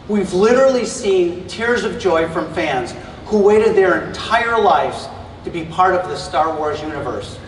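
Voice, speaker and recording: A middle-aged man with a fairly high voice delivers an earnest, enthusiastic stage presentation. His voice booms through a big arena, echoing around the hall. It was recorded from far back in the audience, with a faint hum of the crowd behind. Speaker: presenter at an expo panel. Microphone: stage mic recorded from the audience.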